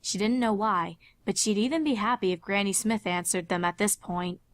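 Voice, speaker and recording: A woman's voice: medium pitch, normal speed, no room sound. A woman reading fan fiction aloud as a scripted story narrator.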